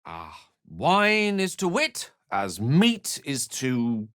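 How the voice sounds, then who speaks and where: Male scholarly wizard, fantasy video game, articulate, theatrical, wry, widely varied pitch, dry studio sound. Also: wildly swooping pitch, extremely slow, fairly bright tone, dry recording, exaggerated voice. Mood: playful, romantic.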